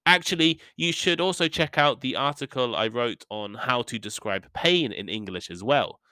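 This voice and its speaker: A man hosting an English-learning podcast, teaching in a careful, deliberate way with a few ums, his voice still slightly rough from a cold. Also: medium pitch, animated, pushed and bright, dry recording. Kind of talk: slow, clear podcast lesson for learners.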